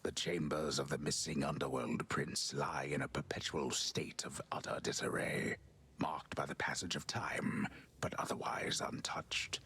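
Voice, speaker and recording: Hushed, extremely deep storyteller. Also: male voice, steady tone, in a whisper, exaggerated voice. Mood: grave, ominous. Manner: hushed, slow, portentous. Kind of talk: scripted video game narration.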